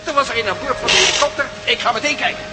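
An actor playing an anxious, eager scientist in a radio play. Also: male voice, very high-pitched, expressive, racing. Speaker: Stevens, a scientist. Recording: noisy old radio play recording.

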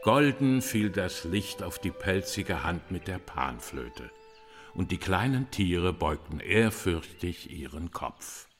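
A man narrating a fairy tale in a radio play, solemn and hushed. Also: low voice, very animated, takes it slowly, full character voice. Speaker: storyteller. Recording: long reverb with music behind.

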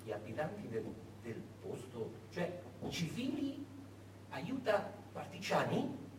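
Male stage narrator, high, very lively pitch, dramatic historical storytelling with weighty pauses. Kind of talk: theatrical historical storytelling, monologue. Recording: echoey stage, distant, faint noise.

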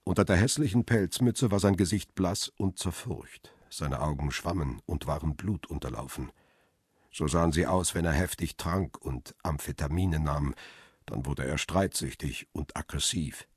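An actor playing a photographer who tells his own tense story in a radio crime drama, measured and descriptive, with a husky voice. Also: a bass voice, no room sound, studio quality. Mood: tense, restrained. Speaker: narrator and protagonist.

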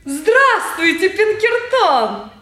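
A saucy young miss of old Russian theatre. Her very high voice is mocking and playful, ringing in a roomy recording. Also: extremely slow, exaggerated voice. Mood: teasing.

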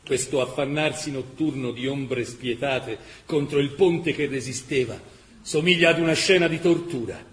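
A man's voice: mid-range voice, normal speed, a bit bright. A man narrating a scripted radio drama in an impassioned, emphatic, literary way. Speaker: actor narrator.

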